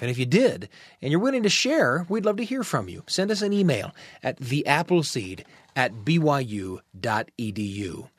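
An American man hosts a radio story show in a conversational way. His voice is a bit high and very animated, recorded dry and close. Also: dry recording. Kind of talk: conversational presenting between stories.

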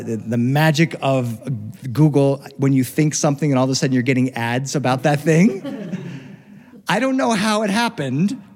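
A middle-aged American man telling a first-person story live in an expressive, animated way with dramatic pauses. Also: on the high side. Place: reverberant studio, recorded live. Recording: live studio recording with long reverb.

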